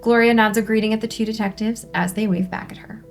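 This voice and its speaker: A woman's voice: mid-range voice, steady tone, fast talker, soft background music. A woman hosting and reading aloud in an upbeat, conversational presenter's style. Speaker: host and script reader. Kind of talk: upbeat hosted discussion and stage-direction reading.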